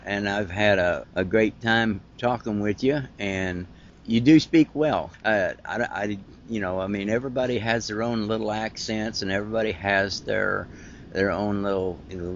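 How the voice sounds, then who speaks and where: A man with an Ohio accent hosting a podcast interview over an internet call, talking in a rambling, halting, friendly way. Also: on the low side, gravelly, dull audio, slight background noise. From Ohio, United States. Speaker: podcast host. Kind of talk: casual interview chat.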